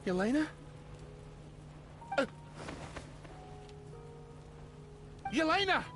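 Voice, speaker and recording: Game drama role: a guilt-ridden, heartbroken man, high voice, halting and pleading, music behind. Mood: desperate pleading. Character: grief-stricken, guilt-ridden man haunted by a lost woman. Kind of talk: dramatic video game voice acting.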